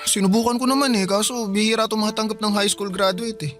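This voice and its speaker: An actor playing a hard-up, stubborn young brother in a Filipino radio drama, pleading and defensive in casual everyday speech. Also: male voice, high-pitched, steady tone, fast, a bit bright. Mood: dejected. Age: young adult. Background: music bed.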